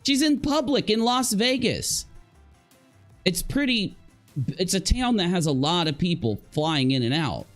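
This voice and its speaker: A man giving casual live reaction commentary, halting as he thinks aloud and posing rhetorical questions to his viewers. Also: high voice, animated, fairly bright tone, soft background music. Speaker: livestream commentator.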